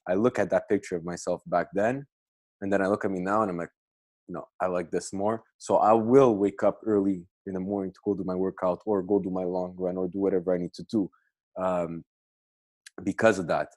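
Deep-voiced man, rambling podcast host. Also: fairly even intonation, brisk, darkish tone, no room sound. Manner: rambling, filler-heavy, frequent restarts. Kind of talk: casual chat.

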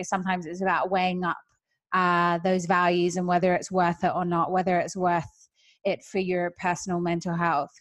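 A woman from Perth with an Australian accent, hosting a podcast interview in an encouraging, reflective way. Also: on the low side. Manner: encouraging, reflective, uses "you know". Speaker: podcast host. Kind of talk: conversational interview.

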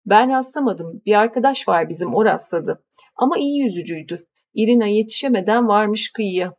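A woman's voice: mid-range voice, expressive, fairly quick, a little mellow, dull audio. A woman voicing a friendly, talkative middle-aged village fisherman who explains things patiently. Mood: explaining. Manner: explains patiently, like reading from an encyclopedia.

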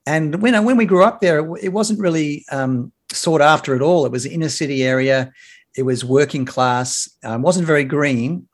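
A man in his fifties with an Australian accent, a broadcaster telling stories as a podcast guest in a relaxed way, with many fillers and restarts. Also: a little high, moderate pace. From Sydney, Australia. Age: 50s. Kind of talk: casual interview chat. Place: home near a rail line.

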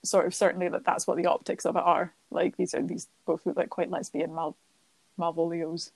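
A woman's voice: on the low side, flat delivery, a little fast, vocal fry, darkish tone, dry recording. A woman co-hosting a podcast, chatting casually about theatre and thinking aloud with many restarts. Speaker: podcast co-host.

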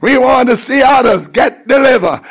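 A man's voice: extremely high pitch, lively intonation, normal speed. A man preaching a fervent call-and-response sermon, exhorting listeners and repeating phrases with emphasis.

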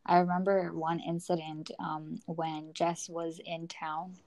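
A woman's voice: fairly low pitch, level tone, unhurried, darkish tone. A young woman co-hosting a podcast, chatting casually and a little hesitantly with plenty of "like" and "you know". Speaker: podcast co-host. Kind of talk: casual TV recap discussion.

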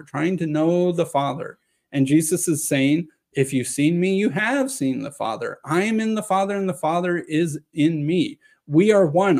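A slightly hoarse man giving a measured lecture, reading scripture passages aloud and explaining them. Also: a little high, darkish tone. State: slightly hoarse from long talking. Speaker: video presenter on scripture. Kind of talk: lecture-style reading and commentary.

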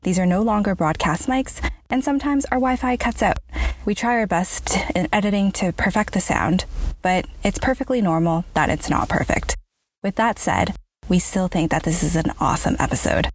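A woman's voice: mid-range voice, animated, moderate pace, dry recording. A woman hosting a workplace podcast, reading an upbeat scripted introduction and then interviewing a guest, recorded at home on a budget lapel mic. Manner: upbeat, enthusiastic. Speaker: podcast host.

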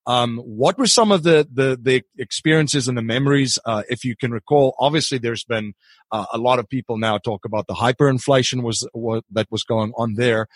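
A podcast host from Africa, a man with a mid-pitched voice recorded dry and close. He builds long, winding questions and often repeats a word before moving on. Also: moderate pace, no room sound. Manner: repeats words while framing long questions. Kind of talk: interview podcast.

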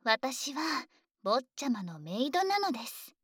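A woman plays a dragon maid in an ASMR situation drama, with a high voice and an unhurried pace. The maid is polite and coddling, yet teasingly domineering toward a smaller young master. The sound is close and very dry. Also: very animated, husky, no echo, full character voice. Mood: teasing, confident. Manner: polite servile speech, gently teasing and coddling. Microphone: close microphone, dry.

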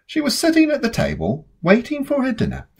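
A woman reading a children's story aloud in an expressive, scripted narration style. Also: a little high, brisk, slightly rough. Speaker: storybook reader.

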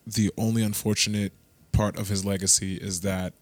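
A young man from Brooklyn co-hosting a podcast, teasing and measured in casual banter. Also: fairly low pitch, flat delivery, no echo. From Brooklyn, New York. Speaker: podcast co-host.

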